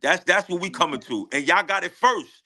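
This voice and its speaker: A man with a New York accent chatting excitedly as a guest rapper, slangy, boastful and emphatic. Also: high-pitched, brisk. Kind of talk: excited casual interview chat. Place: radio show studio.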